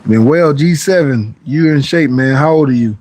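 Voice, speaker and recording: A man's voice: mid-range voice, moderate pace, a little mellow. A man in his forties hosting a fitness livestream, casually chatting through viewer questions in a laid-back, rambling way. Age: 40s. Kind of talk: casual live-stream chat answering viewer comments.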